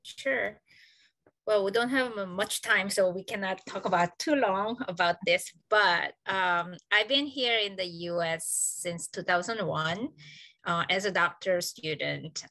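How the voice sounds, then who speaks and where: A woman's voice: mid-range voice, measured pace, bright, forceful tone, dry recording, rough recording. A woman with a South Korean accent, an associate professor, speaking earnestly and reflectively on a panel over a video call, with frequent fillers.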